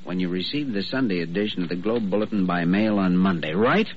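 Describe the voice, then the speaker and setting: An actor playing an earnest, determined young hospital doctor who reasons thoughtfully aloud in 1940s radio drama style. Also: male voice, deep voice, a little fast, lightly acted. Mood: excited deduction. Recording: dull, hissy old radio recording.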